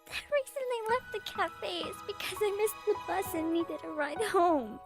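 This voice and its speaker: High-voiced grieving young sister. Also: female voice, animated, normal speed. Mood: guilty, anguished. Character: grieving young sister, guilt-ridden, earnest, teasing with her brother. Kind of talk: visual novel voice acting. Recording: music playing behind the voice.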